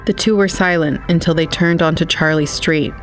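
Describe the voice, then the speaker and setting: Male narrator, slightly high voice, very level intonation, scripted storytelling. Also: fairly even intonation, moderate pace, a bit bright. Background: faint music.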